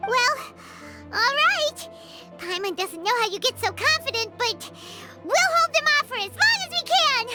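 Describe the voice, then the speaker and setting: Tiny chatty fairy sidekick for an anime game: extremely high, squeaky, animated, music underneath. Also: female voice, unhurried. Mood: excited. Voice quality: squeaky, childlike. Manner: speaks of self in third person, exclamatory. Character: small floating fairy-like girl companion, excitable and chatty. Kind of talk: anime-style video game voice acting. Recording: music behind the voice.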